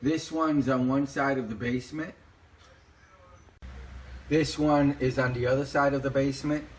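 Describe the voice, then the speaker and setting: A man's voice: mid-range voice, fairly even intonation, unhurried. A middle-aged man giving a casual, plainspoken walkthrough in a conversational, repetitive way. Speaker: home tech vlogger. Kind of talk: casual explanatory home walkthrough. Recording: echoey indoor room.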